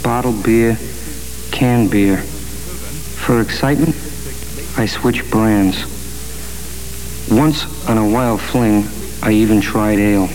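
A man delivering a wry, deadpan comic monologue for a 1960s radio commercial. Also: on the low side, slow pace, long pauses, poor audio. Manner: deadpan, understated. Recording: old AM radio ad, faint music.

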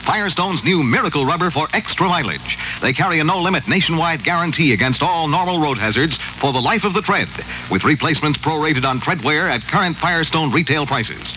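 Bright, punchy American ad announcer. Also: male voice, lively intonation, brisk, few pauses, low-quality recording. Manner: polished, emphatic sales pitch. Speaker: commercial announcer. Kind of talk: 1960s radio ad read. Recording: old radio, faint noise.

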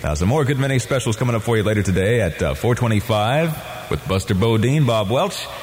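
A man's voice: on the low side, expressive, fairly quick. A man working as a radio DJ, reading listings informally with wry asides. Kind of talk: reading listener classifieds on music radio. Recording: 1970s radio broadcast, long reverb, music underneath. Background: music bed.

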